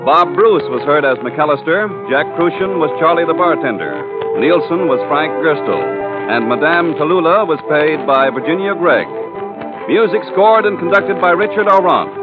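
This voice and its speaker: A man's voice: a little high, animated, normal speed. A man with an American accent reading formal scripted credits as a 1940s radio announcer. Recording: tinny old broadcast over loud music.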